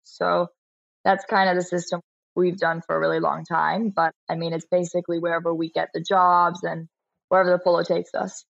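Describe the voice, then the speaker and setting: An 18-year-old woman from Florida answering interview questions, soft-spoken and brief, with frequent small laughs. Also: lowish voice, flat delivery, a little fast, gravelly, no echo, lo-fi sound. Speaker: guest, polo player.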